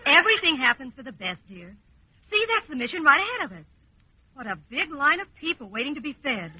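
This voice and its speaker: Genteel American sitcom mother, high, lively and reassuring, dulled vintage radio sound. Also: brisk, background noise. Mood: cheerful. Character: soothing, cheerful wife and mother. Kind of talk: 1940s radio sitcom acting. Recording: dull vintage radio recording.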